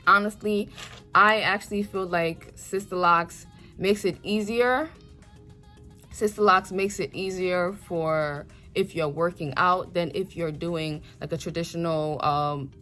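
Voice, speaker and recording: A young woman vlogger who was born in Nigeria and grew up in the United States answers her viewers' questions. She has a somewhat low voice and a loose, chatty manner full of fillers and restarts. Faint music plays behind her. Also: fairly bright tone. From born in Nigeria, raised in the United States. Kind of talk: casual Q&A vlog.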